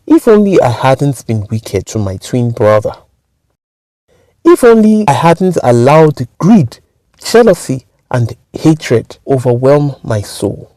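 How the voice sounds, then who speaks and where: A woman's voice: a bass voice, big pitch swings, slow pace, mellow, no echo, exaggerated voice, poor audio. A woman voicing a solemn, remorseful man who was turned into a monkey, in a spoken folktale. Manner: solemn, earnest pleading.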